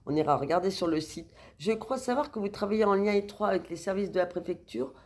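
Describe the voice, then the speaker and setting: A woman's voice: mid-range voice, fast talker, darkish tone. A woman interviewer putting questions to her guests and acknowledging their answers.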